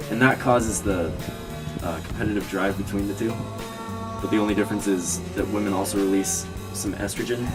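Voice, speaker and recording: Young man, very low voice, halting delivery, student presenter, music behind. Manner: halting, with pauses and fillers. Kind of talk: explaining a class experiment.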